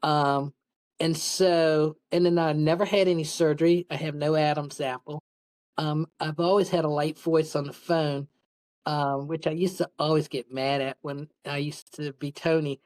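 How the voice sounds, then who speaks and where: Podcast guest: middle-aged woman with a low-set voice, casual and candid, wandering through personal stories. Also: flat delivery, moderate pace, a little mellow. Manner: candid, rambling storytelling. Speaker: podcast guest, public speaker. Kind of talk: casual interview, personal anecdotes.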